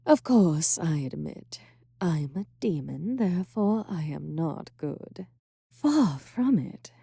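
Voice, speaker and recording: A woman voicing a sultry, arrogant female succubus in an ASMR roleplay, teasing slowly with breathy whispers. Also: fairly low pitch, sing-song, warm tone, dry recording, studio quality, full character voice. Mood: scornful.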